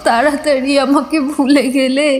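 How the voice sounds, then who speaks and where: A woman's voice: high voice, fairly even intonation, normal speed, over music, natural voice. A woman voicing a trapped ghost wife who pleads desperately to be saved. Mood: pleading. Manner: pleading, plaintive. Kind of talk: audio drama acting.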